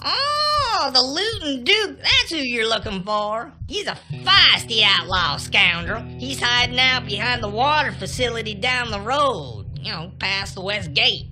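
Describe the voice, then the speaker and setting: A woman's voice: a little high, a little slow, hardly any pauses, faint music behind, exaggerated voice. A woman voicing a scatterbrained, feisty chicken-like townsperson in a western video game, with a rustic American drawl and a squawky voice. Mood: gossipy. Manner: folksy, excitable.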